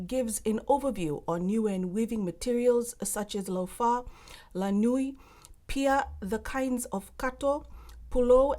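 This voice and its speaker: Woman, news reporter, voice-over, mellow warm tone, steady careful narration. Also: fairly high pitch.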